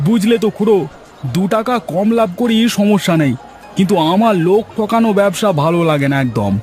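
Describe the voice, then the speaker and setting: A man's voice: sits high, lively intonation, fairly quick, darkish tone, a faint crowd. A man voicing a chummy, generous village shopkeeper in a cartoon, chatty, familiar and folksy. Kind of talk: cartoon voice acting. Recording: faint music behind the voice.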